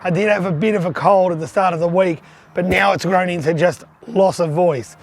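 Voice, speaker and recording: A hoarse man who has lost his voice, giving a chatty, upbeat vlog tour of his arcade collection. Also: high voice, steady tone, a little fast. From Australia. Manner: chatty, upbeat, pointing things out.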